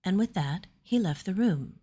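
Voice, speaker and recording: A woman's voice: mid-range voice, animated, moderate pace, dark tone, slightly rough. A woman storyteller reading scripted fantasy fiction narration.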